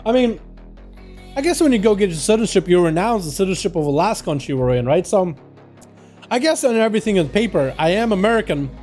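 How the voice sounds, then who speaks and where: A man's voice: high voice, expressive, quick pace, darkish tone, faint music behind. A young man from Russia casting video game duels live, chatting loosely with his viewers and calling them "boys.". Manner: chatty, calls out to chat as "boys". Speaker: livestream host and duel caster. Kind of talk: casual game commentary.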